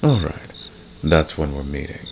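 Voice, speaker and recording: A confident villain acted by a man speaks in an extremely deep, creaky voice. He is flirtatious and teasing, intimate as in ASMR romance audio, with a protective streak. Crickets and night noise are clearly heard behind him. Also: lively intonation, unhurried, heavily acted. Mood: smug, seductive. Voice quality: very deep, gravelly. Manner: teasing, smug, intimate, soft chuckles.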